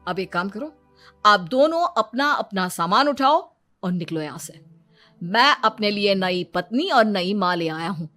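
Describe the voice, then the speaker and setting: Young cartoon husband, acted by a woman: weary peacemaker, forceful edge, pleading manner. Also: mid-range voice, animated, unhurried, soft background music. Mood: stern. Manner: exasperated, pleading. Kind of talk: cartoon dialogue.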